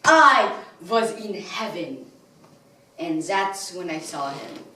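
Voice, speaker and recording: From across an echoey room with some background noise comes a grandiose, bitter villain played by a young girl. He tells his story in a slow, theatrical stage delivery, swinging widely in pitch between weighty pauses and swelling outbursts. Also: big pitch swings, bright, forceful tone, far from the mic, exaggerated voice. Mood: awed wonder. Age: child or young teenager, 13 or under. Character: melodramatic male soap-opera villain, bitter and grandiose crime lord.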